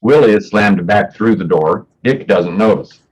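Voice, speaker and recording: A man's voice: on the low side, moderate pace, darkish tone, breathy edge. A man narrating stage directions for a play, reading from a script in the present tense.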